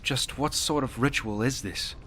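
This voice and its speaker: A man's voice: medium pitch, normal speed, a bit bright, faint music behind, close to an everyday voice. An actor playing an earnest young male warrior hero in a fantasy game, with a plain, serious, questioning manner. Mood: concerned, puzzled. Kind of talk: dramatic fantasy game dialogue.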